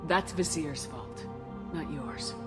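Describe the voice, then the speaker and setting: An actress playing a steady woman commander in a video game, with a dry, understated manner and care for her friend. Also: low voice, slow pace. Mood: concerned, subdued. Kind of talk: dramatic video game voice acting. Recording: music behind the voice. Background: game soundtrack music.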